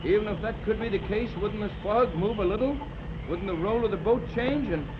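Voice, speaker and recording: Man playing a calm, commanding sea officer, fairly high voice, muffled old radio with hiss. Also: fairly quick, lightly acted. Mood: uneasy. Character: steady, grave male seaman, middle-aged. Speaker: ship's officer Tex. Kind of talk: 1930s radio drama acting.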